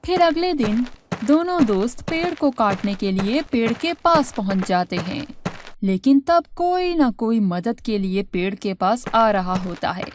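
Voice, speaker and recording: A woman's voice: on the high side, darkish tone, slight background noise. A woman reading scripted narration for a children's story.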